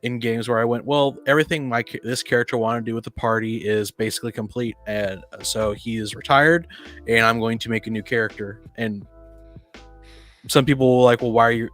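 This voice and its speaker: A man co-hosting a casual podcast discussion, talking in a halting way and often rephrasing mid-sentence. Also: medium pitch, fairly even intonation, a bit bright, soft background music. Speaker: podcast co-host. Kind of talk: casual discussion of tabletop roleplaying.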